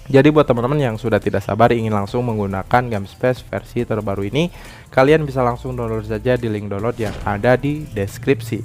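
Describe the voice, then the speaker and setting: A man's voice: medium pitch, a little mellow. A man narrates an app tutorial in an explanatory way, often tagging his sentences with a short "ya". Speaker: tech tutorial YouTuber. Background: background music.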